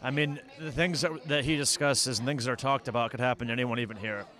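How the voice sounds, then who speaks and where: A man giving casual, halting interview answers at a red carpet event, often repeating his words. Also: medium pitch, steady tone, bright, forceful tone. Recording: outdoor crowd noise behind voice.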